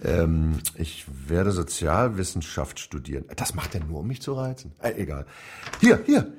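An actor in a radio drama playing a cynical middle-aged industrialist father, husky, offhand and dismissive. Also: low voice, sing-song, normal speed, darkish tone, full character voice. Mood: placating, cynical.

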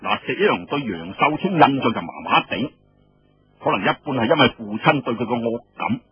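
A man's voice: medium pitch, lively intonation, fairly quick, breathy edge, no echo, soft background music. A man with a Cantonese accent narrating a story in a dramatic, colloquial style with conversational asides. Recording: dull lo-fi radio-style audio, faint noise.

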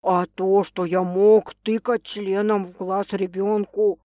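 Woman voicing a gruff, anxious man in love, slow and halting. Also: mid-range voice, flat delivery, warm tone, dry recording, studio quality, natural voice. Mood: anxious, flustered. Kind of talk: audiobook romance dialogue.

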